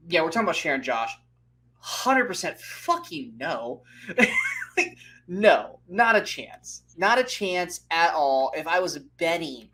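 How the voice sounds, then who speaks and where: A man co-hosting a casual movie debate podcast, animated and loose, with many fillers, laughs and the odd swear. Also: a little high. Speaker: podcast co-host.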